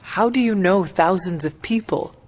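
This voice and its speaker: A woman playing a stoic, formal warrior hero in a video game cutscene, speaking plainly and gravely. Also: on the low side, moderate pace, close to an everyday voice. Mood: curious, sincere. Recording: long hall reverb, music behind.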